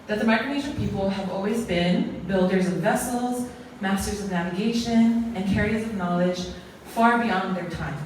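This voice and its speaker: Woman, formal closing speech, earnest and ceremonial, mid pitch, captured by a distant mic in a big echoing hall with faint noise. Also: moderate pace, hardly any pauses, darkish tone. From American Samoa. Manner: earnest, ceremonial, speaks in lists. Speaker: closing speaker at an arts awards event. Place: large echoey museum hall.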